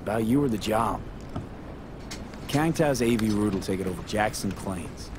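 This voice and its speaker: V, actor as a low-voiced, terse mercenary. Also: male voice, lively intonation, normal speed, lightly acted. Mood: businesslike. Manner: terse, clipped, matter-of-fact. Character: cool, pragmatic street mercenary man. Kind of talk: naturalistic video game acting. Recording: vehicle and ambience noise behind.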